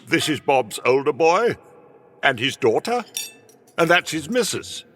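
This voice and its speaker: A man's voice: fairly high pitch, sing-song, unhurried, fairly bright tone, heavily acted. A man playing an old miser in a radio play, questioning and interjecting as he watches. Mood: curious. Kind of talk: stage radio-play acting.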